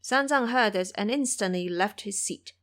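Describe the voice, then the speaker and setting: A woman's voice: medium pitch, moderate pace, fairly bright tone. A woman narrating a scripted audio drama in a storytelling style.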